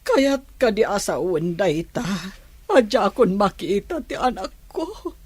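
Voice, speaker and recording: A woman with a Filipino accent and husky voice playing an anxious, sorrowful mother of a grown daughter in a radio drama. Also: medium pitch, big pitch swings, a little slow, no room sound. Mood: anxious, tearful. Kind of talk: Ilocano radio drama acting.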